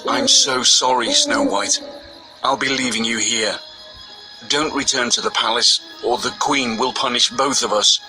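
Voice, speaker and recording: A man playing a soft-hearted, obedient huntsman in a children's fairy tale, earnest and pleading. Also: mid-range voice, fairly even intonation, a little slow, over music, close to an everyday voice, poor audio. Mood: urgent, regretful.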